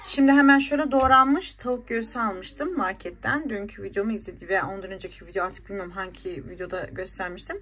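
A woman's voice: medium pitch, quick pace, hardly any pauses, fairly bright tone. A woman in her thirties chatting casually and colloquially as she narrates her everyday vlog. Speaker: vlogger. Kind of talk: casual vlog narration while shopping and cooking.

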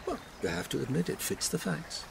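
An actor with an English accent playing a loyal, sceptical gentleman doctor in a radio drama, thoughtful and a little grumbling. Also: on the high side, brisk, gravelly, background noise. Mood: pondering. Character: loyal older gentleman doctor, sceptical, plain-spoken companion. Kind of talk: BBC radio drama acting.

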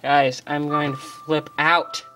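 A channel host giving chatty, excitable game commentary, explaining features and joking about their own slips, recorded on a phone filming a screen. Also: mid-range voice, slow, soft background music. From United States. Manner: chatty, excitable, self-deprecating. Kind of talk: casual game commentary tutorial.

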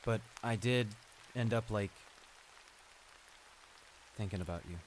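A man's voice: fairly low pitch, slow pace, poor audio. A man playing a shy, flustered school bully in an audio roleplay, stammering and hesitant as he apologizes and gets embarrassed. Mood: sheepish, apologetic. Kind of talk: intimate improvised audio roleplay acting. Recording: rain noise behind the voice. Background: steady rain.

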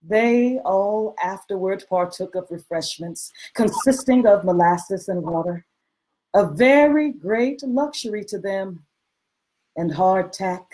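A woman giving a measured, expressive dramatic reading of a literary text over a video call. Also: mid-range voice, rough recording. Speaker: reader performing a historical diary. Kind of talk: dramatic literary reading with some sung hymn.

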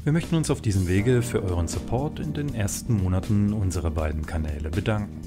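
A man's voice: on the low side, fairly quick. A man reading a scripted channel announcement as its announcer. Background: guitar music.

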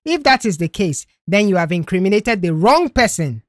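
A man's voice: sits high, big pitch swings, normal speed, a bit bright, dry recording, studio quality. A man voicing a combative, outraged mother in an audiobook, accusing and confrontational. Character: combative, outraged mother defending her grown son. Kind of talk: audiobook character dialogue.

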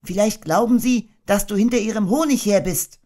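A man's voice: sits high, normal speed, mellow, voice pushed up, close to an everyday voice. A man putting on a young boy's voice in a storybook reading, giving plain, matter-of-fact replies. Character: kind, sensible young boy.

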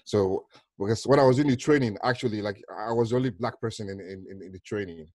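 A middle-aged man with a Nigerian accent speaks in a low voice as an interview guest. He explains things conversationally, circling back and rephrasing. The sound is dry, captured on a headset during a video call. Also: quick pace, a little mellow, no echo. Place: small room at home, on a video call.

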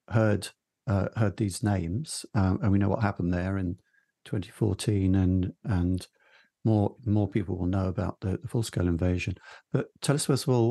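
Host: man, low warm voice, measured, dry close sound. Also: no room sound. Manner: measured, probing questions. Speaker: podcast host. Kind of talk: interviewing.

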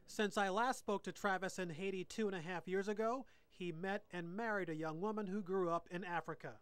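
A man reporting and narrating a television news documentary in a measured, scripted way. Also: sits high, steady tone, a bit bright, clear, resonant tone, no room sound. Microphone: handheld station mic.

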